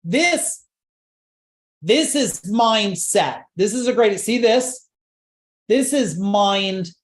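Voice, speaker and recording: A man's voice: sits high, expressive, slow pace, reverberant. A man coaching a live online seminar, pushing emphatic rhetorical questions and repeating his points. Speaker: business coach.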